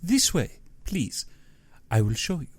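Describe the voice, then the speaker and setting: A man's voice: fairly high pitch, very animated, slow, breathy edge, no echo, pitched above the performer's own voice, exaggerated voice. A man voicing a genteel, menacing general in an audiobook, with pompous and exaggeratedly courteous speech. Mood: proud, sinister. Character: genteel, menacing aristocratic general who hunts men.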